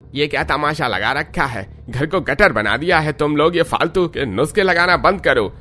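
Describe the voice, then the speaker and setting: Man as a high-pitched scolding village husband. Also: expressive, normal speed, bright, forceful tone. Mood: angry, scolding. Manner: harsh, reproachful.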